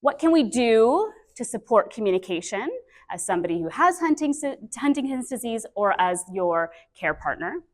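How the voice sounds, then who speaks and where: A woman in her thirties, a speech-language pathologist giving a lively, chatty conference talk on a microphone, with many fillers. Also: on the high side, moderate pace. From Canada. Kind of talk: conference presentation. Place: conference breakout room. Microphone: handheld or stand mic with feedback.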